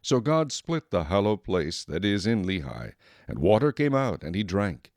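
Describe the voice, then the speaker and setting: A man's voice: fairly low pitch, big pitch swings, moderate pace, a little mellow, no echo, studio quality. A man narrating scripture as a scripted audio drama narrator.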